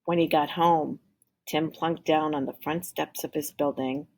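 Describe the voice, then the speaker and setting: Low, creaky-voiced woman reading a storybook. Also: fairly even intonation, unhurried. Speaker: teacher reading a picture book aloud. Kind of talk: expressive storybook read-aloud.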